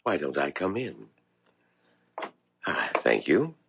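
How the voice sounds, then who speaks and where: A mysterious, ominously courteous male caller in a radio play. His bottomless bass is full of gravel, and his formal lines swing slyly in pitch. The old broadcast recording is somewhat muffled. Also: big pitch swings, background noise, exaggerated voice. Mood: unruffled, cryptic. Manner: formal, deliberate, insinuating. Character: mysterious, sinister, courteous male stranger offering opportunity. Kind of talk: 1970s radio drama acting.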